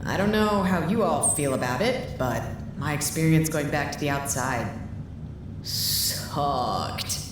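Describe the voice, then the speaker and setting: A weary, frank woman leader in an audio drama, with a very low voice and lively intonation. She is dry and blunt. The sound is heavily echoey, with clear noise behind her. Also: slow pace. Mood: frank, bitter.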